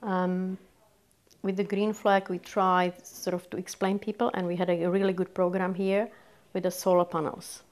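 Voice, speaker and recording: A woman's voice: lowish voice, level tone, normal speed, a little mellow. A woman in her sixties from the Czech Republic, with a Czech accent, earnestly explaining her volunteer work in a sit-down interview in a small office with bookshelves. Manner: earnest, non-native phrasing, keen. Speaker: community volunteer.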